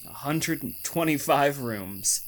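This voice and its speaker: A man's voice: medium pitch, animated, slow pace, slight background noise. A man playing a domineering, secretive bridegroom in old-fashioned radio melodrama, with a terse, insistent delivery. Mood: casual, clipped. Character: domineering, secretive bridegroom from Maine, curtly menacing.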